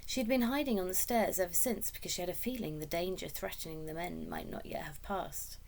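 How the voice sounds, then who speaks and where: A woman reading a children's story aloud as expressive scripted narration. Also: lowish voice, a little fast, a little hiss or hum. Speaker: story reader.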